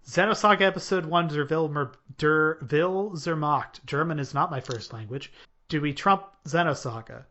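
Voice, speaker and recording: A man's voice: a little high, moderate pace, fairly bright tone. A man hosting a casual podcast chat, rambling and joking with many hesitations. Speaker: podcast host. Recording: dull lo-fi podcast audio.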